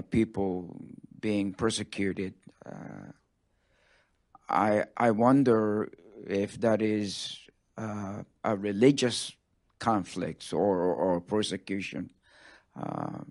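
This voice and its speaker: A man with a Japanese accent asks questions from the audience. His voice is fairly low and his delivery is slow and halting, with a blunt, unscripted manner. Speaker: audience member asking questions. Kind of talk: spontaneous question from the floor.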